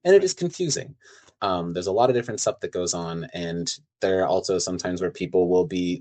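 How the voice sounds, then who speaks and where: A man's voice: low voice, darkish tone. A man with an American accent speaking as a co-host and newsletter writer, explaining things in a plain-spoken, conversational way. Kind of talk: explanatory interview talk.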